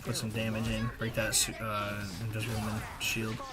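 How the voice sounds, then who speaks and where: A man's voice: lowish voice, monotone, normal speed, a loud crowd. A young man giving casual, slangy voice-over commentary on gameplay in a loose play-by-play way. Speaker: gaming video creator. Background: game music and effects.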